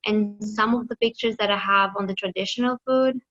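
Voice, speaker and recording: A woman's voice: medium pitch, fairly even intonation, fairly quick, a bit bright, dull audio. Hesitant woman. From Greece. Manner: hesitant, many fillers and restarts. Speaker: student presenter. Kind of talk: class presentation.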